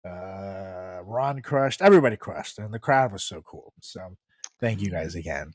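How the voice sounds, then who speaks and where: A man's voice: low-pitched, expressive, a little slow, no echo. A man co-hosting a podcast in casual chat, joking and laughing often as he hypes the show. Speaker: podcast co-host.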